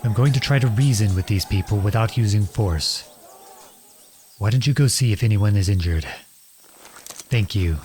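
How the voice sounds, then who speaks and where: A man playing a noble runaway outlaw prince in a dramatic audio roleplay, earnest and imploring, with a husky voice. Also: on the low side, normal speed, long pauses, darkish tone, faint music behind, lightly acted. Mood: commanding, urgent. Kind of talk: dramatic ASMR roleplay acting.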